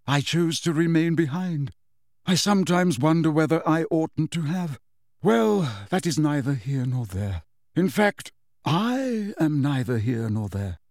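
A man narrator puts on a wistful storybook ghost, gentle and evasive. The speech is slow, formal and mournful, with hesitant phrasing, recorded close and dry. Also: fairly high pitch, lively intonation, dry recording, voice pushed up.

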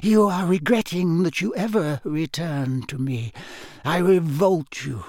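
A man voicing a cold, menacing, frail dark lord in an audiobook, with a breathy, hissing delivery and a slow, imperious, contemptuous manner. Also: a little high, dry recording, studio quality, voice pushed up. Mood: suspicious, accusing. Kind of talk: audiobook character acting.

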